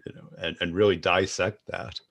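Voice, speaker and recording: A man with a fairly low voice acts as a podcast host. He speaks casually, in an interview chat style. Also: fairly even intonation, moderate pace, gravelly, a bit bright.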